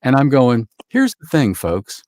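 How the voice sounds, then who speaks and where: A man's voice: mid-range voice, huge pitch swings, a little slow, a little mellow, dry recording, studio quality. A man speaking as a guest in a casual podcast chat, earnest and emphatic, repeating phrases to drive his point home. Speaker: guest actor.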